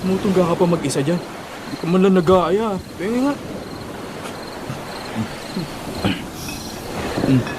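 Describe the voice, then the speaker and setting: A man's voice: high-pitched, a little fast, noisy background, lightly acted. A man playing a blunt, exasperated but loyal buddy in a colloquial Filipino radio drama. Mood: casual. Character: exasperated loyal male buddy urging friend to give up. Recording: loud music bed behind dialogue.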